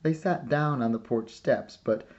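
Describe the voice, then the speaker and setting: A man narrating a children's picture book with measured, deliberate storytelling. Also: medium pitch, a little mellow, dull audio. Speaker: storybook reader.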